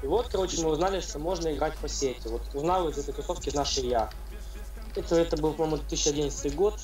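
Young male guest, rambly storyteller, slightly high, echoey distant recording. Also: fast talker, a little mellow, faint music behind. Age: young adult. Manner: rambling, loose storytelling with many fillers. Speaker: interview guest, game player. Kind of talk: casual interview.

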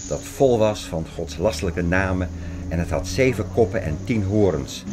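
A man's voice: low-pitched, noisy background, lightly acted. An actor playing a fervent man expounding apocalyptic theories in a radio play, insistent, didactic and declamatory. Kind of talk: radio play dialogue, insistent lecturing and reciting.

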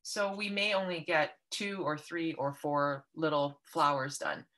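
A woman's voice: deep voice, steady tone, measured pace, fairly bright tone, low-quality recording. A woman from Canada leading an online paper craft workshop, explaining each step patiently and kindly over a video call. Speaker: art workshop instructor.

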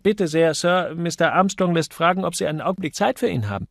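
Man doing an eager high-pitched office boy. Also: expressive, a little fast, creaky, dry recording, studio quality. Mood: polite, eager. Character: cheeky red-haired office errand boy, polite and eager.

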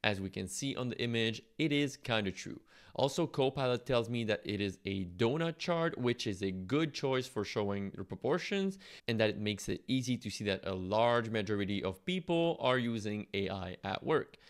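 Guy walking thru a software tutorial, lowish clear smooth voice. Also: animated, moderate pace. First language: French. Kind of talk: conversational step-by-step screen tutorial.

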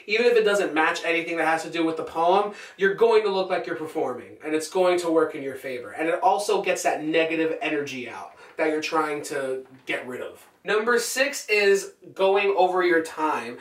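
A young man talks through stage tips in a casual, conversational way. His voice sits notably high for a man. It is recorded in an echoey room, a little away from the camera's microphone. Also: a bit bright, distant mic. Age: young adult. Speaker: poet giving stage performance tips. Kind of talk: casual instructional vlog talk to camera. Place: small bare-walled room indoors.

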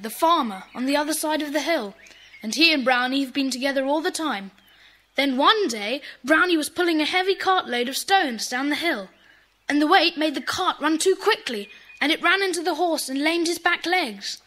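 A woman's voice: high-pitched, lively intonation, normal speed, a bit bright. A woman playing an earnest, kind-hearted schoolboy in a children's audio drama. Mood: worried. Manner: earnest, explanatory.

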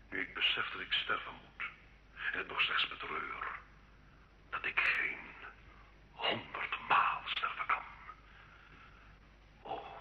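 A man's voice: low-pitched, vocal fry, bright, forceful tone, exaggerated voice. An actor playing a tormented, murderous French nobleman confessing in an old radio drama, theatrical and impassioned. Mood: broken, pleading. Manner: impassioned, anguished, dramatic swings from whisper to cry. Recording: muffled old radio recording, faint noise.

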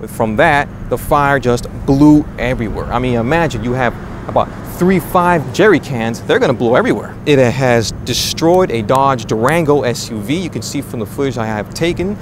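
A man's voice: mid-range voice, lively intonation, normal speed. A young man in his twenties gives an earnest, dramatic on-scene news report outdoors at night, wording things loosely and restarting often. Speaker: independent street reporter. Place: outdoors at night on a city street. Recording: outdoor recording with street noise. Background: street and traffic noise.

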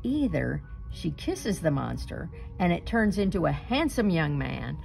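A woman reading a children's picture book aloud expressively, with deliberate, dramatic emphasis and suspenseful pauses. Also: low voice, sing-song, gravelly, a little mellow, faint music behind.

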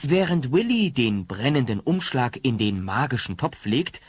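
An actor narrating a comedic family radio play as a wry storyteller with a husky voice. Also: male voice, medium pitch, sing-song, moderate pace, a little hiss or hum, close to an everyday voice.

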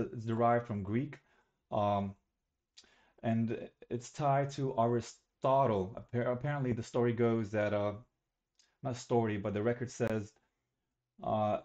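A man's voice: fairly low pitch, darkish tone. Young man giving a casual class talk. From Algeria. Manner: casual, self-correcting, slightly rambling. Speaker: student presenter. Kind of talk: recorded class presentation.

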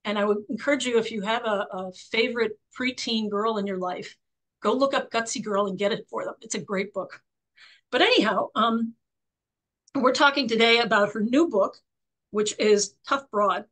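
A woman in her sixties with a croaky voice, hosting a book club interview over a video call from her home office, chatty and full of fillers. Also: on the high side, moderate pace. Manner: warm, chatty, many fillers and restarts.

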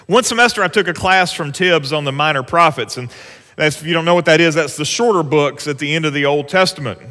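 Bright-voiced pastor preaching in a hall. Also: male voice, fairly high pitch, brisk. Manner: earnest, conversational preaching with rising emphasis on scripture. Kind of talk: sermon. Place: church sanctuary stage. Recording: long church hall reverb.

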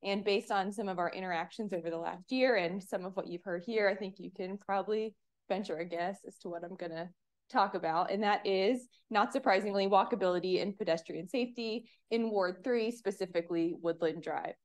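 A woman in her thirties from Pennsylvania, giving an earnest, measured public comment at a municipal commission meeting on a podium microphone. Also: medium pitch, darkish tone. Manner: earnest, measured, reads clearly.

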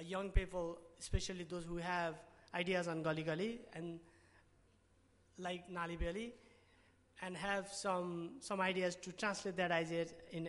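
Young Nepali-accented man, high-ish voice, halting conference pitch on a podium mic, long hall reverb. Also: a bit bright. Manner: hesitant, many restarts and fillers. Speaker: award presenter.

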